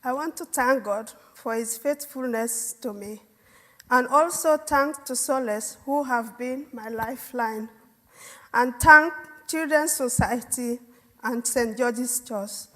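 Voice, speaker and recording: A young woman slowly reading out a prepared personal statement of thanks. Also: a little high, sharp tone. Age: young adult. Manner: measured, careful reading. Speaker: speaker reading a testimony.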